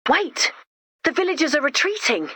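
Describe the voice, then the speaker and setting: A young video game heroine with a high voice. She is chatty and earnest, a romantic who gently bosses people around with a wry edge. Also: big pitch swings, moderate pace, no room sound, full character voice. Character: earnest, romantic, gently bossy young woman organizing monster wedding.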